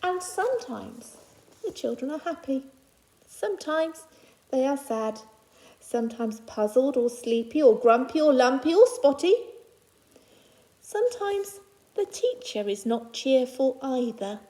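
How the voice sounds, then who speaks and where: A woman's voice: high voice, animated, unhurried, dark tone, rough recording. A woman teacher reading a children's picture book aloud to young pupils, phrasing it simply and adding reassuring asides in her own words.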